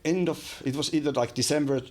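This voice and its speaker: A man's voice: a little high, fairly even intonation, brisk. A man in his forties with a Finnish accent, a podcast guest talking casually with many fillers and restarts, recorded on a studio mic in a small room. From Finland. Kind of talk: casual interview chat. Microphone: studio mic on boom arm.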